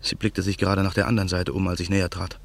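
A deep, gravelly avenger. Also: male voice, flat delivery, quick pace. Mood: brooding. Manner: brooding, intense. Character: Daniel, a haunted man bent on revenge. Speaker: narrator and lead character. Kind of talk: radio play acting.

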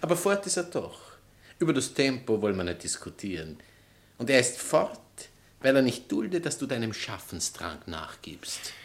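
An actor playing a pompous, theatrical writer and former lover, declamatory and sarcastic in a stage satire. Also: male voice, mid-range voice, very animated, normal speed, close to an everyday voice. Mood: sarcastic, indignant. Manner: declamatory, sarcastic, self-important. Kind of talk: stage satire acting in literary German.

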